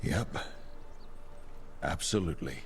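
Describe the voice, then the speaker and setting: An actor playing a supportive, easygoing male hero in a fantasy video game, gentle and sparing with words. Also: very deep voice, animated, slow, reverberant, a little hiss or hum, heavily acted.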